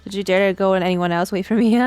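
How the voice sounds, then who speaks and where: A woman's voice: mid-range voice, brisk, clear, resonant tone, no room sound. Playful woman streamer over game music. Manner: playful, teasing, slangy asides. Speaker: streamer reacting to a game story. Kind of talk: livestream reaction, reading dialogue aloud and chatting with viewers.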